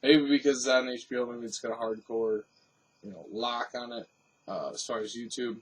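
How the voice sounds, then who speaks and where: A man's voice: medium pitch, steady tone, normal speed, slightly rough, far from the mic, rough recording. A man giving off-the-cuff news commentary, rambling and thinking aloud. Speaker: commentator and host.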